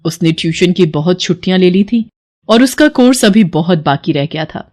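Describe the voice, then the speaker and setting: A woman's voice: on the low side, lively intonation, normal speed, a little mellow. A woman storyteller reading scripted narration for a children's moral story.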